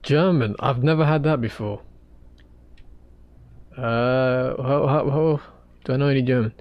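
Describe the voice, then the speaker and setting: A man hosting a livestream, chatting casually with his viewers while he draws, in a halting way full of restarts. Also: medium pitch, steady tone, no echo, dull audio. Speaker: livestream host.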